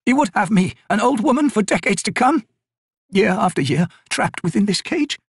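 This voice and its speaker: A woman's voice: medium pitch, animated, moderate pace, husky, no echo, studio quality, pitched above the performer's own voice. A ragged old wisewoman. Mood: despairing. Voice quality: cracked, ragged.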